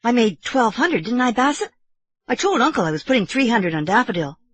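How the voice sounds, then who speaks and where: A woman reader puts on a young English boy for a literary story. The child is grave and secretive, speaking insistently in a raised, slightly husky voice. The sound is close and dry. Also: on the high side, animated, fairly quick, no room sound, voice pushed up. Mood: matter-of-fact.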